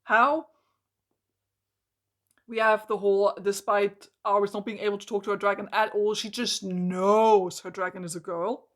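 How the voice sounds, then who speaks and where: A hoarse woman reviewing a book in an exasperated, emphatic rant, full of "like" and restarts. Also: medium pitch, flat delivery, moderate pace.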